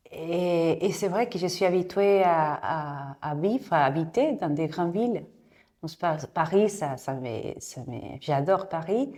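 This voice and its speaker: A woman from Mexico, a guest in a casual interview, speaking French as a with frequent pauses and restarts, recorded in a yoga studio. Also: low voice, warm tone. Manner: hesitant, many restarts and fillers.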